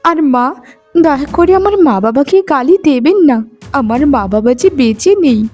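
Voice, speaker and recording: Woman voicing a meek, high-voiced young daughter-in-law. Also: lively intonation, moderate pace, darkish tone, faint music behind. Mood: hurt, pleading. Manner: submissive, plaintive. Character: meek, pleading young daughter-in-law, long-suffering and gentle. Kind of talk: melodramatic moral-story cartoon acting.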